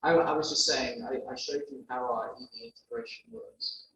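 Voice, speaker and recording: A man's voice: medium pitch, moderate pace, sharp tone, dull audio. London-accented man presenting, stumbles and restarts; distant, roomy mic. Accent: London English. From London, England. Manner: hesitant, many restarts. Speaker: guest presenter. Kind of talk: answering audience questions at a meeting. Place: meeting room. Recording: echoey room, distant mic, faint hum.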